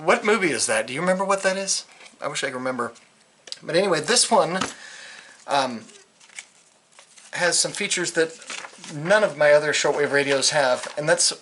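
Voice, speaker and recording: A man's voice: medium pitch, bright, forceful tone, a little hiss or hum. An American man giving a casual, unscripted product review in a jokey, digressive way. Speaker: vlogger reviewing a radio. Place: indoors in a concrete-walled bunker.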